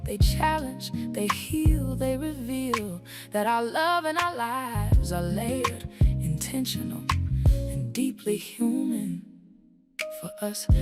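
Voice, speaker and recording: A woman with a high voice speaks slowly and deliberately, like a spoken-word poet. Each line is drawn out and measured, with loud music playing underneath her. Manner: slow, deliberate, poetic delivery.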